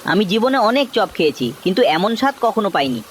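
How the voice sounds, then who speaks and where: A woman's voice: mid-range voice, very animated, fast, a little hiss or hum, close to an everyday voice. A woman voicing a frank, appreciative village traveller in a cartoon moral story. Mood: delighted. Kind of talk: animated moral story dialogue.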